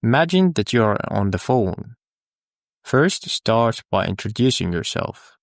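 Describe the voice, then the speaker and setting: A man who is a native Arabic speaker, teaching English in a scripted, deliberate, instructional lesson style. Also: lowish voice, very animated, creaky, dry recording, clean studio sound. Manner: deliberate, instructional, with pauses for the learner. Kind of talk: scripted language lesson, repeat-after-me drills.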